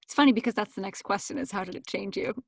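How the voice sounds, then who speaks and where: A woman's voice: medium pitch, rapid-fire, vocal fry, dry recording, clean studio sound. A woman interviewing her guest, asking questions in an attentive, encouraging way. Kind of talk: interview questions and listening cues.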